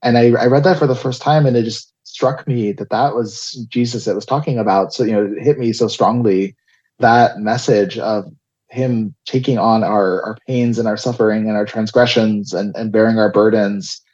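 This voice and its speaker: A young man with a lowish voice tells his story as an interview guest. He hesitates and restarts his sentences often. The sound is dull and lo-fi. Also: fairly even intonation, normal speed. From Israel, raised in South Florida. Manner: hesitant, many restarts and fillers, soft laughs. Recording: dull lo-fi audio.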